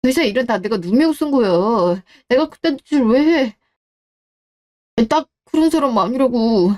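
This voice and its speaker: Radio drama role: evasive, desperate husband played by a woman, self-justifying and pleading, with a muted, mellow timbre. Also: fairly high pitch, expressive, normal speed, lightly acted. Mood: defensive, aggrieved. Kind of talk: radio-drama story dialogue.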